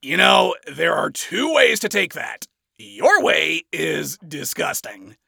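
A brash, scornful man as a male narrator acts him out in a story reading. His voice is raised and forceful with some gravel in it, and he speaks in a blunt, biting, sarcastic way, swearing freely. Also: fairly high pitch, big pitch swings, takes it slowly, dry recording, clean studio sound, pitched above the performer's own voice, exaggerated voice. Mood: scathing, sarcastic. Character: brash, scornful, foul-mouthed man ranting at his enemy.

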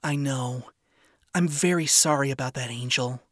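A man reading the lines of a kind, earnest young woman in a soft, gentle manner. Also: medium pitch, normal speed, no room sound, clean studio sound, close to an everyday voice. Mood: sympathetic.

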